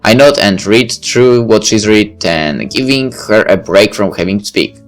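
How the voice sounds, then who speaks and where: A man's voice: lowish voice, a little slow, faint music behind. A man reading a visual novel aloud as a let's play narrator, with casual asides.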